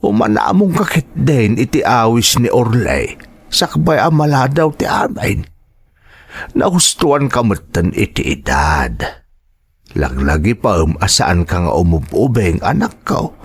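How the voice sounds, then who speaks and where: Man acting low, husky elderly Filipino father. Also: big pitch swings, measured pace, no room sound, full character voice. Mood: tender, resigned. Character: gentle, concerned elderly father advising his grown daughter. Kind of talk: audio drama acting.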